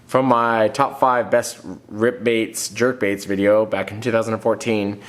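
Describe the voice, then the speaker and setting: Guy in his 30s with a cold, kinda nasal, lowish voice, just chatting about fishing gear at home. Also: flat delivery, unhurried. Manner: plain-spoken, restarts often, reads comments aloud. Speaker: fishing channel host. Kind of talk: casual vlog answering viewer comments. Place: home room, indoors.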